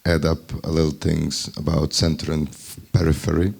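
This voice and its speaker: Wry low-voiced Bosnian man. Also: flat delivery, normal speed, creaky, a little mellow, breathy edge. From Bosnia and Herzegovina. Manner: wry, ironic, searches for words. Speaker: festival workshop participant. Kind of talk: spontaneous discussion remarks.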